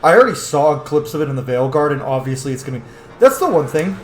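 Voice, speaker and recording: A man's voice: fairly high pitch, very animated, fast. Opinionated man commentating in a roomy space. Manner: opinionated, emphatic. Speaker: gaming video host. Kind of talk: casual gameplay commentary.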